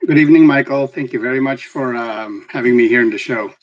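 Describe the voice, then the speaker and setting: A man from the Philippines with a Filipino accent, answering interview questions as a guest educator in a measured, explanatory way. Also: medium pitch, lo-fi sound, crowd noise. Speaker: guest, respiratory therapy educator.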